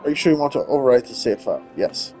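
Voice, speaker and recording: A man's voice: medium pitch, very animated, fast, mellow. A man hosting a gaming video, reading game text aloud and adding casual comments. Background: game music.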